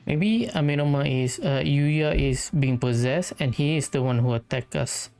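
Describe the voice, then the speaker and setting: A gamer giving casual, low-key commentary in murmured reactions while playing, with game music behind. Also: unhurried, a little mellow. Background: video game music.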